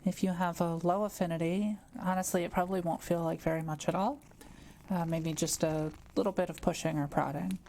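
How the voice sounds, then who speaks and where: Woman acting a mellow, gentle witch. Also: fairly low pitch, fairly even intonation, fairly quick, gravelly, a little hiss or hum. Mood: patient, explanatory. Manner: gentle, careful, explanatory. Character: kind, quiet-living adult witch, patient and reassuring. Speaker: voice actor in an audio roleplay. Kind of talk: intimate one-to-one audio roleplay, conversational.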